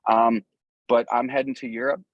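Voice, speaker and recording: A man talks casually as a guest musician in an interview, earnest and loose with plenty of slang. His pitch sits in the middle, and the recording is dull and dry, with little room sound. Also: flat delivery, moderate pace, no echo.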